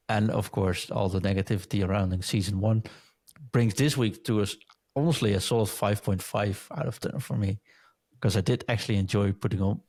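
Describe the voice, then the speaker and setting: A man hosting a casual gaming podcast, talking in a relaxed, rambling way with many pauses and ums. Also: fairly low pitch, no room sound. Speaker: podcast host.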